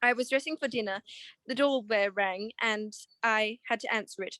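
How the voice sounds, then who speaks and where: A woman plays a well-to-do wife in a 1940s radio mystery. Her high voice is genteel but flustered and hesitant, evasive and anxious, recorded close and dry. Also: moderate pace, pushed and bright, no echo. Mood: genteel, explaining. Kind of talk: 1940s radio detective drama acting.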